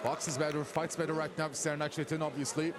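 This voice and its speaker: A man with a Turkish accent giving live play-by-play of a boxing fight, rising to shouts at big moments. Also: on the high side, level tone, quick pace, very noisy. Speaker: fight commentator. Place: boxing arena. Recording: loud arena crowd and music behind.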